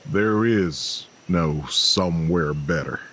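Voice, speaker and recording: An actor playing a bleak, shell-shocked, defeated traveler in an audio drama, speaking in hollow, flat statements. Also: male voice, low voice, slow pace, full character voice. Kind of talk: naturalistic audio drama acting.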